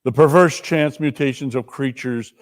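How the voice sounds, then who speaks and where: A man narrating a story in a dramatic reading, with measured and emphatic phrasing. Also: mid-range voice. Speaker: dramatic reader.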